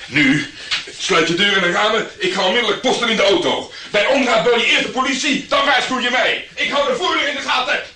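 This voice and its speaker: A man's voice: very high-pitched, a little fast, few pauses, bright, forceful tone, slight background noise. An actor playing a cocky, patronizing, hot-headed tough-guy private detective in a Dutch radio drama. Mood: agitated, threatening. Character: swaggering, blustering male private detective, tough-guy loner.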